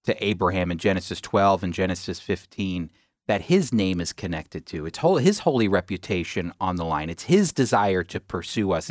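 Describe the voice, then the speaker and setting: A man teaching from scripture in an earnest, emphatic way, slowing down to stress key phrases. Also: on the low side, dry recording, studio quality. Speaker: Bible teacher.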